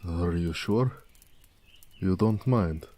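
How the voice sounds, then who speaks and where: A man playing a big, muscular orc blacksmith in an intimate audio drama, proud, gentle and affectionate, with courteous, slightly formal speech. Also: low voice. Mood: sheepish, considerate. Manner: unhurried, soft-spoken, courteous, slightly formal. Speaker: voice actor.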